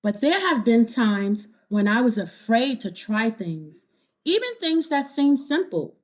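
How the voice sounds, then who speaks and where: A woman reading a children's storybook aloud, pausing to explain things and check in with young listeners. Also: on the high side, sing-song.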